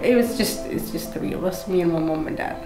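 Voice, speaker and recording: Low-voiced woman with many fillers. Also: fairly quick, reverberant, music in the background. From Pakistan. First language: Urdu. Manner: hesitant, many fillers and restarts. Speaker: motivational speaker, guest. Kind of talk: telling her life story in an interview. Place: indoor studio set with brick wall, seated at table.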